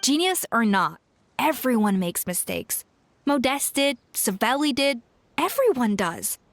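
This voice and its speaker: A woman's voice: a little high, very animated, unhurried, slight background noise. A woman acting a proud, demanding former head chef in a video game, blunt and emphatic like a tough mentor. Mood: scolding, impassioned. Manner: forceful, scolding, emphatic. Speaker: voice actor.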